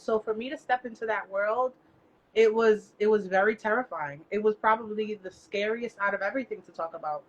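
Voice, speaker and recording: A woman's voice: medium pitch, a bit bright, dull audio, a little hiss or hum. A Dominican woman author speaking as a guest, emphatic and blunt, slipping into Spanish phrases. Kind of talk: candid interview talk.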